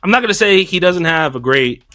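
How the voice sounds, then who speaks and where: A man co-hosting a reaction talk show, animated and joking, swinging from low asides to loud outbursts. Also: brisk, background noise. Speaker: podcast co-host. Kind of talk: casual reaction chat.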